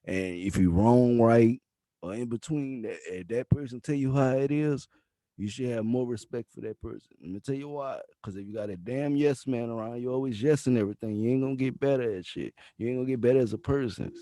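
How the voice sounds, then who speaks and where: A man's voice: mid-range voice, fairly quick, mellow, no echo. A man in his thirties hosting a podcast interview, talking in a laid-back, slangy way and giving advice like he's preaching. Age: 30s. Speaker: podcast host. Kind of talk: casual interview chat.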